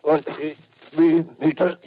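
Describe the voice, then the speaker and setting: An actor playing a struggling artillery soldier who calls out ranges haltingly in a 1930s radio drama. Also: male voice, fairly high pitch, level tone. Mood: strained. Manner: slow, halting. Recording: tinny noisy 1930s radio recording.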